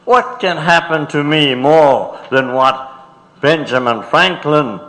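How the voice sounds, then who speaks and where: Defiant courtroom defendant male actor, deliberate and forceful, big reverberant hall. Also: on the high side. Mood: defiant, insistent. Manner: insistent, deliberate. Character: defiant male defendant demanding his rights. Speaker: reader in staged documentary. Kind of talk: dramatic reading of court transcript. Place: large auditorium. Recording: reverberant auditorium.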